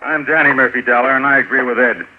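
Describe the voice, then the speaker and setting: An actor playing a hot-headed, greedy nephew with a belligerent, accusing manner in a 1950s radio drama. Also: male voice, mid-range voice, steady tone, fast, background noise, close to an everyday voice. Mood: angry. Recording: narrow, muffled old radio broadcast.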